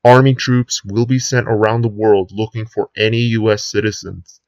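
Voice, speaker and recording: A man playing a sinister US president who gives a grave, deliberate address to the nation in an emergency broadcast drama. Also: on the low side, little pitch movement, darkish tone, no room sound, lo-fi sound, natural voice. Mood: grave, menacing. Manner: grave, deliberate speechmaking with drawn-out ominous phrases.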